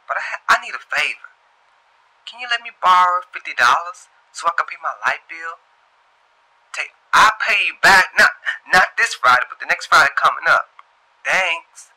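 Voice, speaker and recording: A man's voice: fairly high pitch, sing-song, moderate pace, pauses often, sharp tone, voice pushed up, exaggerated voice, low-quality recording. A man voicing a pushy, slangy woman wheedling a favor over the phone in a short story.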